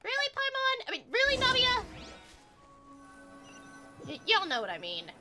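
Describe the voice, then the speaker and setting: A woman livestreaming a game, chatting casually and excitably to her chat. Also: extremely high pitch, normal speed. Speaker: live streamer. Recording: faint game music behind. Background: game music.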